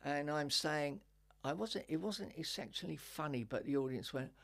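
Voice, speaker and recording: An older woman with a deep voice, a stand-up comedian telling wry anecdotes in a relaxed interview chat. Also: normal speed. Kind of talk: casual interview chat, storytelling.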